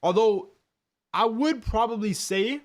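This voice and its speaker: A young Canadian man hosting a live match watchalong and reading chat, in loose slangy talk that often repeats himself and keeps saying "bro". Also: measured pace. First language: English. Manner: bursts loud and high when goals go in. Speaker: watchalong streamer.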